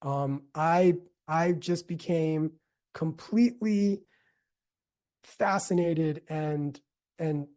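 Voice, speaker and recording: A middle-aged American man giving a reflective talk as a teacher, earnest and deliberate, repeating phrases for emphasis. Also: on the high side, dark tone. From United States. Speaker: yoga teacher and speaker. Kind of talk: reflective spoken talk with personal storytelling.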